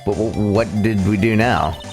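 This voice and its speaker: Man voicing deep-toned earnest young lawyer over music. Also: normal speed, lightly acted. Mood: puzzled. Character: earnest, easily flustered young male defense lawyer. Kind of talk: voiced visual novel playthrough. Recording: game music behind the voice. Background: video game music.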